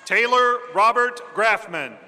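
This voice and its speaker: A man with a very high, sharp, bright voice reads names slowly at a podium. A long arena echo trails each name, with faint crowd ambience behind. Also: animated, a little hiss or hum. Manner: slow, measured announcing of names. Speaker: name reader at graduation. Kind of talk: reading out graduate names. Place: large indoor arena. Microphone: podium microphone.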